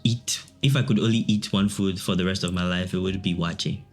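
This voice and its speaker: A man's voice: deep voice, fairly even intonation, fairly quick, faint music behind. A young man with a Ghanaian accent, chatting easily as an interview guest and laughing often. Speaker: guest, digital artist. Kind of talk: casual interview chat.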